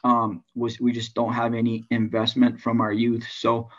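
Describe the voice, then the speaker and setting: A man from Hawaii with a Hawaiian accent, a baseball coach talking casually to a club meeting over a video call, halting with many restarts and fillers. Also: medium pitch, completely flat delivery. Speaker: high school baseball coach. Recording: dull lo-fi sound.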